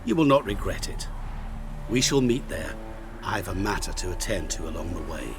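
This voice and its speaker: An actor playing a suave, scheming bargainer in a fantasy game, formal and theatrical, savoring his pauses. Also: low voice, big pitch swings, over music. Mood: sly, smooth. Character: suave, scheming male bargainer, smooth and quietly menacing. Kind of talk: fantasy video game dialogue.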